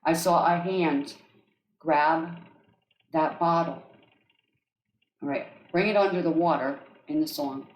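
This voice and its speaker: A woman with an accent, an energy healer speaking gently and often checking in with her listeners as she describes guided healing tracks. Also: low voice, slow pace, long pauses. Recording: echoey room.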